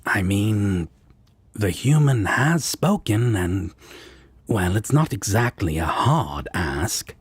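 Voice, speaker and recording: A man playing a teasing, easygoing devil roommate in an audio drama, with a husky, raspy voice and a drawling, fond manner. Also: lowish voice, big pitch swings, slow, no room sound, full character voice. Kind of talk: roleplay audio drama, comfort scene.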